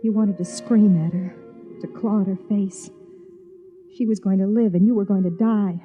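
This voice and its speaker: An actress playing an anxious, desperate young wife in a 1950s radio drama, speaking hesitantly and wistfully. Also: female voice, mid-range voice, fairly even intonation, mellow, lightly acted. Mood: bitter narration. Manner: hesitant, halting. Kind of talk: 1950s radio drama acting, with second-person narration. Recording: tinny 1950s radio recording, music behind.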